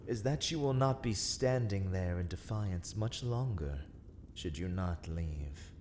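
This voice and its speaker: Guy doing a menacing old-world vampire lord for dark asmr, super slow and formal, kinda low, haughty. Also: slight background noise. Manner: formal, archaic, slow and deliberate threats. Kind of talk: dark ASMR roleplay acting.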